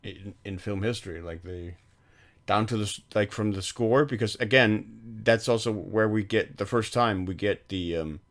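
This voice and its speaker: Male podcast co-host, low pitch, casual film talk, halting and fragmentary delivery. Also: fairly bright tone. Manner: halting, piecing thoughts together in fragments. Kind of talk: casual film discussion, recounting scenes.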